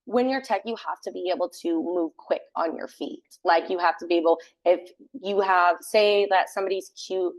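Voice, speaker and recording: A young woman with a loud voice, a theater sound tech and assistant stage manager, chatting casually in a podcast interview and filling her talk with "like". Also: fairly low pitch, normal speed, few pauses, low-quality recording. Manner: chatty, many fillers like "like".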